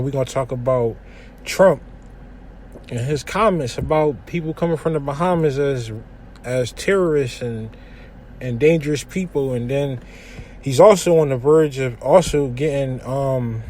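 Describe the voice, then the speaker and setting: A young man from hosting a casual solo podcast from his kitchen, giving news commentary in a relaxed, slangy way with lots of shout-outs. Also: medium pitch, a little slow, slight background noise. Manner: laid-back slangy talk with frequent shout-outs. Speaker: podcast host.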